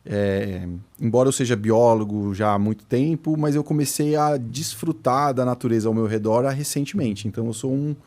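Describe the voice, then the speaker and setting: A man's voice: medium pitch, fairly even intonation, moderate pace, darkish tone. Man in casual explanatory chat. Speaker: biologist and podcast host.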